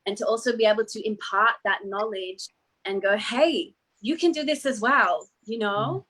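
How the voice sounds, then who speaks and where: A woman's voice: medium pitch, normal speed, a bit bright, rough recording. Earnest Australian woman, flowing delivery. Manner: earnest, flowing, often adds "you know". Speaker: guest speaker. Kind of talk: reflective interview answers.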